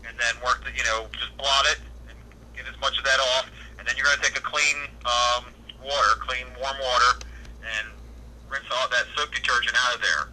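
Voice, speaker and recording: A man's voice: mid-range voice, fairly even intonation, moderate pace, pushed and bright. An American man giving practical advice over the phone as a service worker, patient, matter-of-fact and plain-spoken. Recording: telephone line audio.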